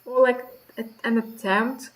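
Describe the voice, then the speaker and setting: A woman streaming a visual novel, reading the narration aloud and reacting in an expressive, sweary way. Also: fairly high pitch, a little slow, low-quality recording.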